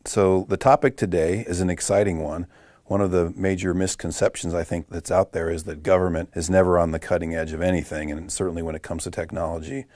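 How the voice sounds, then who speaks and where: A man's voice: low-pitched, fairly even intonation, fairly quick, darkish tone, dry recording, clean studio sound. A middle-aged man hosting a podcast, upbeat and encouraging, with frequent affirming sounds. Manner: upbeat, many affirming fillers. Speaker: podcast host, department director. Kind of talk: podcast host chat.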